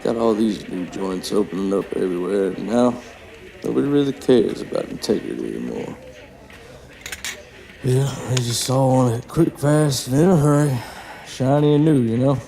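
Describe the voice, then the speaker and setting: Gravelly, chummy bartender. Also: male voice, medium pitch, lively intonation, moderate pace, mellow. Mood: grumbling. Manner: casual, chummy. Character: folksy, grumbling male bartender of a fading bar. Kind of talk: noir audio drama dialogue. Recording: music bed behind the voice.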